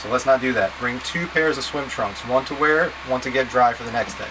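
A man's voice: mid-range voice, fairly quick. Plain-spoken man instructing over background noise. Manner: plain-spoken, step-by-step, casually directive. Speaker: scout trip leader. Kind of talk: instructional packing talk to camera. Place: small wood-panelled boat cabin, indoors. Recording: steady background hum and noise.

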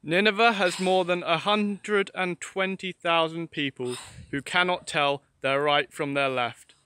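Guy playing a calm god voice, slow and measured, bright and smooth. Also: a little high, no echo. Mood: solemn. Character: calm questioning divine voice, male.

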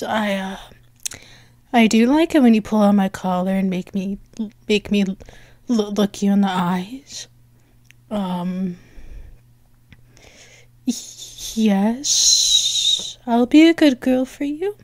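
A woman performing an intimate ASMR roleplay, bashful, halting and softly affectionate, close to the microphone. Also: on the high side, dry recording.

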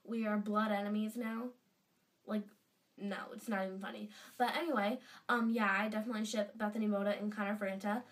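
A woman's voice: mid-range voice, fairly even intonation, a little fast, far from the mic. A teenage girl with a stuffy nose from a cold, gushing in a casual fan vlog from her bedroom. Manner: chatty and gushing, lots of fillers. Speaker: vlogger. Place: bedroom indoors. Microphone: webcam at desk distance.

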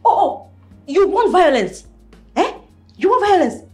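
Woman acting brash pregnant wife, loud stammering rants, high lively voice, soft music behind. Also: quick pace. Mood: defiant. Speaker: actor. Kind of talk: melodrama acting.

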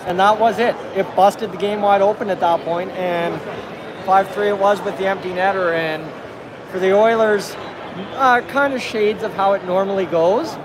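Male sports fan, 30s, high voice, post-game commentary, noisy arena phone recording. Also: normal speed. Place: busy arena entrance concourse at night. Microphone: phone at arm's length. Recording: loud urban crowd and traffic noise.

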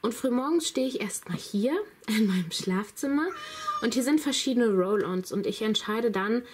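A woman's voice: a little high, moderate pace, a little hiss or hum. A woman in her thirties, slightly under the weather, chatting to viewers as she films around her home. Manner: chatty, enthusiastic, addressing viewers directly. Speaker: vlogger. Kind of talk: casual vlog walkthrough. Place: home, indoors.

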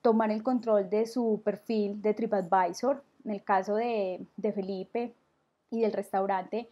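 A young woman giving tourism marketing advice on a video call. She speaks in a measured, explanatory way with many filler sounds, through a webcam and earphone mic in a small room. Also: mid-range voice, fairly even intonation, mellow, dull audio. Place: small room indoors at home.

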